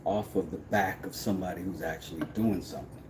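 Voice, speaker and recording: A man with a very low voice, hosting a casual live stream, trash-talking his critics and rambling about woodworking. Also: fairly quick, background noise. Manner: brash, trash-talking, then rambling and relaxed.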